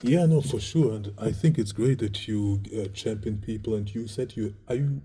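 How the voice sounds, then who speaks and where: A man's voice: fairly low pitch, normal speed, warm tone, breathy edge. A male podcast host asking hesitant questions and giving short agreeing replies. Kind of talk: casual interview chat.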